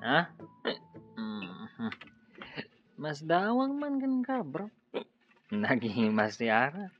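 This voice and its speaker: A man's voice: mid-range voice, huge pitch swings, takes it slowly, muffled recording, faint music behind. An actor with an Ilocano accent playing a tipsy, teasing friend who loves his drink, exclaiming and bantering in radio drama style. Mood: tipsy, playful.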